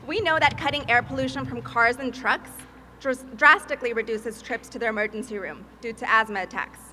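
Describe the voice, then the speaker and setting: A woman's voice: fairly high pitch, a little fast, bright, forceful tone, a little hiss or hum. Peruvian-born woman giving an earnest speech. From Peru. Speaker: advocacy field manager and mother. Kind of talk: prepared speech at a press event.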